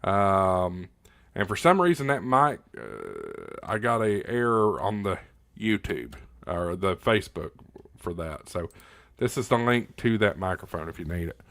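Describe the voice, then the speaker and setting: A man hosting a casual livestream, talking in a folksy, halting way with pauses, close up on a studio microphone. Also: low-pitched, very animated, vocal fry, fairly bright tone. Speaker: livestream host of a ham radio channel. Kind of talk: casual livestream chat answering viewer questions. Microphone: close directional studio condenser microphone, spoken right up on it.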